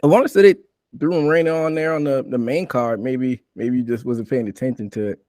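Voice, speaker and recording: A man's voice: mid-range voice, fairly quick, warm tone, dry recording, studio quality. A man hosting a sports podcast, talking casually through a recap and putting questions out for discussion. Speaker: podcast host.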